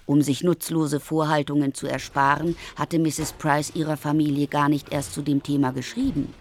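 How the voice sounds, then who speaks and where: A woman playing a proud, hard-up mother of many children in a literary radio play, with an expressive, pointed delivery. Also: low-pitched, normal speed, slight background noise. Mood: pleading pride.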